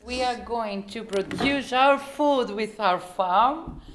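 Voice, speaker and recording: A woman presenting an idea informally, halting and repeating words as she searches for the next one. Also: fairly high pitch, background noise.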